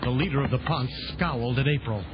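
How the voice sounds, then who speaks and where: A man's voice: mid-range voice, brisk, lightly acted. A man narrating a children's adventure audio drama with a theatrical announcer's delivery. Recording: dull band-limited old audio drama recording. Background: loud dramatic music.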